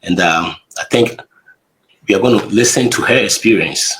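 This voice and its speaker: A man's voice: fairly low pitch, moderate pace, a bit bright, a little hiss or hum, rough recording. A man hosting an interview, asking questions in a friendly, rambling way with many restarts. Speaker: host.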